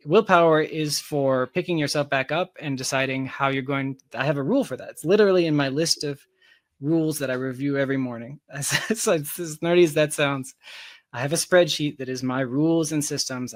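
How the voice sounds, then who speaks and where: A man's voice: mid-range voice, dry recording. A man talking casually as an interview guest, explaining his ideas emphatically and repeating phrases for stress. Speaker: interview guest, tech company founder.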